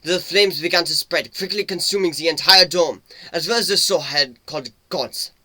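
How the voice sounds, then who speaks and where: Casting: male reader as gruff, war-weary robot pony, sharp and forceful, bitter and swearing, storybook drama. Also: a little high, no room sound, voice pushed up, full character voice, poor audio. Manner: intense, angry, curt, swearing. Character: battle-worn older male mechanical pony, bitter and gruff.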